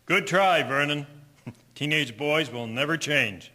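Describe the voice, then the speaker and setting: A man playing a calm, kindly husband and father in a 1920s audio drama. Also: on the high side, takes it slowly, full character voice. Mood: amused. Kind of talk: scripted audio drama acting.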